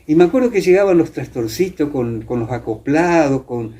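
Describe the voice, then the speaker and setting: An older man with an Argentine accent from Entre Ríos, reminiscing in a conversational interview, haltingly searching for words and repeating himself. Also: fairly high pitch, lively intonation, mellow. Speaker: interviewee, former radio theatre actor.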